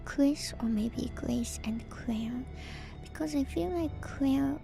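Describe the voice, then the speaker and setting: Slow, whispering woman with music behind. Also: high voice, vocal fry. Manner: hushed, musing, hesitant pauses. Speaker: streamer reading and discussing game lore. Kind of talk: whispered ASMR, reading aloud with commentary.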